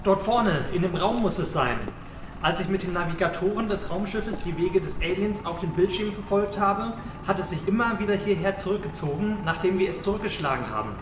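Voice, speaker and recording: A man's voice: high voice, lively intonation, brisk. A man playing a matter-of-fact, dryly bossy alien tracker in an amateur sci-fi comedy audio drama. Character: self-appointed tracker leading friends after an alien. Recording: echoey, distant sound with noisy ambience.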